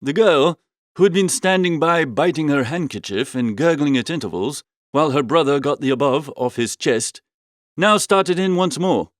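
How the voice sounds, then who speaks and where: A man voicing an amiable, flustered upper-class young gentleman, chattily narrating a comic audiobook in old-fashioned slang. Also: fairly high pitch, moderate pace, no echo, clean studio sound, close to an everyday voice. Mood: amused narrating.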